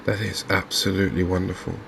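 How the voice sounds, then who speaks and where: Man, softly spoken ASMR game commentary, flat intonation, creaky low end, unhurried, faint background noise. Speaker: gaming video host.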